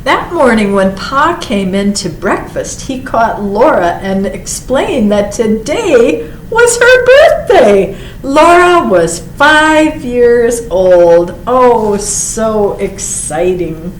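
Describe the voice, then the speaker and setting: A woman reads a picture book to children at a slow, measured pace. She is expressive, stretching out words and slipping in short asides. The recording is roomy and echoey, with faint noise behind her. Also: a little high. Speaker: library storytime reader.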